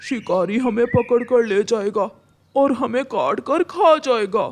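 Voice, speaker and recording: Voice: medium pitch, animated, a little slow. A performer voicing an earnest, helpful, worried male pigeon in a children's cartoon.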